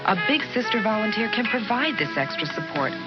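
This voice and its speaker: A woman's voice: mid-range voice, big pitch swings, fairly quick, highs cut off, loud music behind the voice. A woman reading a scripted public service announcement on the radio.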